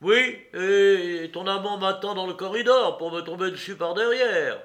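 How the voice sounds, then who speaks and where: A rough, hot-tempered fairground man from a novel, as a male reader plays him. He blusters in exclamatory working-class slang, loud and raised in pitch. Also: unhurried. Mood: angry, threatening.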